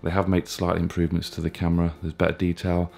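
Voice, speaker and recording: A man in his thirties with a chesty, hoarse cold voice, casually reviewing a gadget with plenty of fillers and restarts. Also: low-pitched, fast talker, soft background music. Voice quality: gravelly. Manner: relaxed, plain talk with frequent fillers and restarts. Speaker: tech reviewer.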